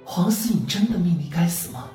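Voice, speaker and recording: A woman's voice: lowish voice, expressive, fairly quick, warm tone, soft background music, lightly acted. A woman playing a frightened young captive in an audiobook story, timid yet probing with her questions.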